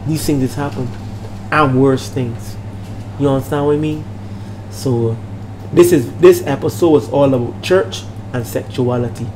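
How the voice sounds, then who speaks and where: A man's voice: mid-range voice, moderate pace. An older man with a Bajan accent talks casually as a minister hosting his vlog, preachy and emphatic, repeating phrases and checking in with listeners. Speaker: minister and channel host. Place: small room at home, indoors. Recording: noisy room with steady background hum.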